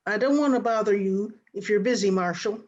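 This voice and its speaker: A woman's voice: mid-range voice, brisk, darkish tone, lightly acted. Woman playing a worried frontier widow, muffled sound. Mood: anxious, apologetic. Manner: plain rural Western speech, hesitant and apologetic. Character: worried, starving frontier widow searching for her son. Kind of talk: old-time radio western acting. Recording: dull, lo-fi video-call audio.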